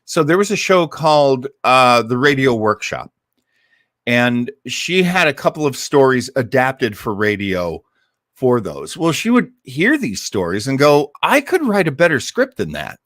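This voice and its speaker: A man hosts in a casual storytelling style, taking his time over each phrase. His pitch swings widely as he stretches and stresses key words for drama. The sound is dry and close. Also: big pitch swings, a bit bright, no room sound. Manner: dramatic storyteller, emphatic, with drawn-out words and repetition for effect. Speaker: host of an old-time radio listening show. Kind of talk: casual live storytelling introduction to a radio classic.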